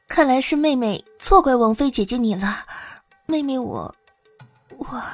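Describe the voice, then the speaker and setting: A woman's voice: high voice, lively intonation, measured pace, no room sound, faint music behind. A woman playing a jealous young concubine in an audio drama, sugary and falsely humble. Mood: sugary, strained. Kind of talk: audio drama acting in Mandarin.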